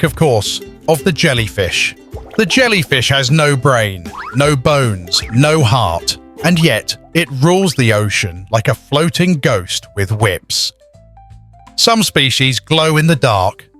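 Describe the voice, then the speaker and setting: Man playing a pompous, animated marble statue. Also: mid-range voice. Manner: pompous, theatrical, deliberate delivery. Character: pompous talking marble statue, self-proclaimed judging educator. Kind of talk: scripted comic educational narration for puppet show.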